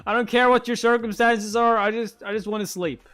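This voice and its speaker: A man's voice: high voice, fairly even intonation, a little fast, fairly bright tone, faint music behind, voice pushed up. A man voicing a bratty, sleepy, boastful young martial-arts prodigy in an impatient, whining way for a webcomic read-along.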